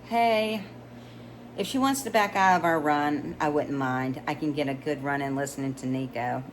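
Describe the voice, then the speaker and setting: A woman's voice: deep voice, brisk, a little hiss or hum, poor audio. A woman reading a romance novel aloud in a conversational way, with small stumbles and restarts. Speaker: audiobook reader.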